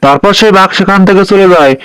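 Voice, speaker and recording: A man's voice: high-pitched, fast talker, fairly bright tone, clean tone, dry recording, rough recording. A man reading scripted narration for a children's story.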